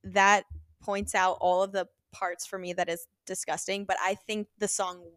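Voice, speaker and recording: A woman's voice: medium pitch, little pitch movement, moderate pace, fairly bright tone, smooth, no room sound, clean studio sound. A young adult woman co-hosting a casual podcast chat, laughing often as she talks. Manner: giggly, chatty, laughs often. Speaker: podcast co-host.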